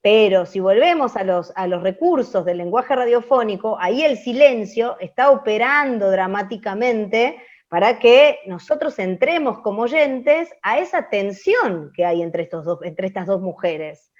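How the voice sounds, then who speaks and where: A woman with an Argentine accent teaching an open class, explaining off the cuff and often pausing to check that listeners follow. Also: mid-range voice, expressive. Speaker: radio drama teacher. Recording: dull, muffled audio.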